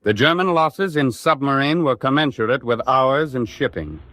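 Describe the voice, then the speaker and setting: An actor playing a sober, formal reporter narrating a wartime radio documentary drama. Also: male voice, mid-range voice, expressive, normal speed, a bit bright, lightly acted. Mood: sober, dramatic. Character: sober male reporter narrating the Atlantic war.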